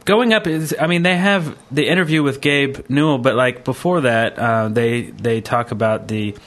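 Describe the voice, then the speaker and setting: A man's voice: medium pitch, normal speed, fairly bright tone. A man co-hosting a podcast in a casual, conversational, rambling way. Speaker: podcast co-host.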